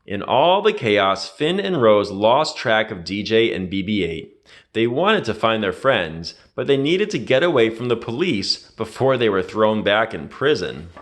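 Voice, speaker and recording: A man reading a children's adventure story aloud in an expressive, dramatic storytelling manner. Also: lowish voice, a little slow. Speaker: read-aloud narrator.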